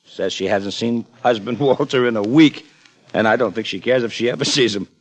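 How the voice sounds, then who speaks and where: An actor playing an easygoing, steady American police sergeant in a 1950s radio crime drama, talking casually and colloquially. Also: male voice, mid-range voice, a little fast, close to an everyday voice. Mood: dry.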